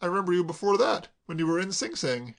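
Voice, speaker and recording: A sharp young man with a dry, sarcastic edge, pitched above the narration and a little louder, as a male reader puts him on. His voice carries a gravelly grain. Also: expressive, fast talker.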